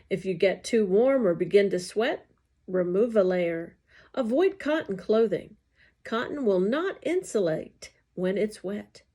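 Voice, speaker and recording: Female narrator for read-along material: slow delivery, very animated pitch movement, scripted and carefully enunciated. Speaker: staff narrator reading a newsletter aloud.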